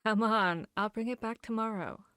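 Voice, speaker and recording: A woman playing a confiding, bookish vampire hunter in a fantasy ASMR roleplay, talking intimately with pauses. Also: fairly high pitch, a bit bright, smooth, no echo, clean studio sound, lightly acted. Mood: casual, teasing. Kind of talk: ASMR roleplay audio drama.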